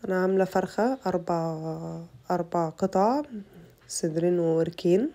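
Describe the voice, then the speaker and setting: A young Egyptian woman with an Egyptian Arabic accent, her voice rough from a bad cold, chatting to her viewers through a casual cooking tutorial. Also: on the low side, moderate pace, dark tone. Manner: chatty, addresses viewers as girls. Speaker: cooking channel host.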